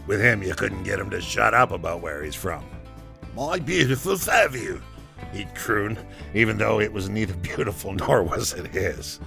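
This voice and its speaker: A wry, rough-edged rebel storyteller, speaks low with a very heavy creak. He is foul-mouthed and sardonic, spinning a first-person audio drama tale in a chatty yet theatrical manner. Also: lively intonation, normal speed, bright, forceful tone, soft background music, full character voice. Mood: sardonic, amused. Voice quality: gravelly, low.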